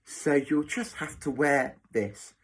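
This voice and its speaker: A man's voice: a little high, slow pace, pitched above the performer's own voice. A man voicing a cruel, bossy hospital matron in a children's story, snapping and mocking with sarcasm. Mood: mockingly sweet.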